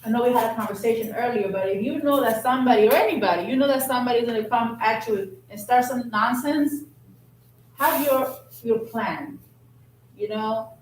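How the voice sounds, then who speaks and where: A woman counselor giving direct, practical advice in a group counseling session, repeating her points. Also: fairly high pitch. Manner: direct, advising with repeated phrases. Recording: echoey room from far off, noisy.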